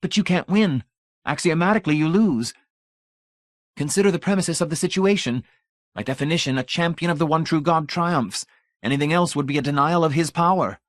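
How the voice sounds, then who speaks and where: A smug, preachy technician, voiced by a male narrator in a raised, needling pitch. He lectures with lofty self-righteousness, every phrase sounding like a sermon. The recording is close and dry. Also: brisk, dry recording, close to an everyday voice. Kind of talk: audiobook character voice.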